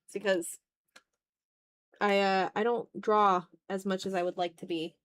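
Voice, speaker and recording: A woman's voice: medium pitch, little pitch movement, measured pace, a bit bright, no echo. Casual, self-deprecating woman streamer. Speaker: art livestreamer. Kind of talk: casual chat while drawing on stream.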